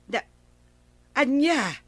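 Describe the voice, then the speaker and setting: A woman's voice: high voice, lively intonation, very slow, fairly bright tone, dry recording, full character voice. An older woman with an Ilocano accent playing an inquisitive, scolding village grandmother in a radio comedy drama, drawing out her questions. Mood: suspicious scolding.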